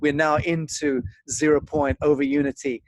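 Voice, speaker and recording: Middle-aged male guest, slightly high-pitched, earnest, halting, grandiose; faintly noisy video-call sound. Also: fairly even intonation. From Zimbabwe. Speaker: interview guest. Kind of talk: spiritual monologue in a video interview. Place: large open room with thatched roof. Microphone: video call, device at a short distance.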